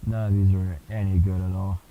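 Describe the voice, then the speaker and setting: A man with a bassy voice giving casual, rambling, slangy commentary while streaming a game. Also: flat delivery, a little fast, phone-quality sound, slight background noise.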